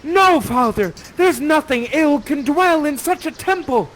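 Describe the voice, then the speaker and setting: A man's voice: very high-pitched, expressive, unhurried, fairly bright tone, slight background noise, voice pushed up, full character voice. A man voicing an innocent, curious young maiden in a verse play, with a declamatory, wonderstruck delivery. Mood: pleading.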